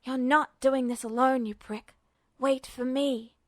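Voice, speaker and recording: A woman's voice: high-pitched, fairly even intonation, unhurried, dry recording, studio quality. A woman voicing a scrappy, foul-mouthed young man with a brash, combative manner in an audiobook story. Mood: defiant. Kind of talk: audiobook character voice.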